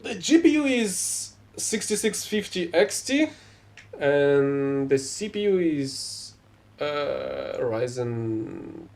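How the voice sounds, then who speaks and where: A man's voice: a little high, creaky, a little mellow. Man giving casual game commentary, non-native English. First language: not English. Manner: rambling, self-correcting, mildly exasperated. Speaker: gameplay streamer.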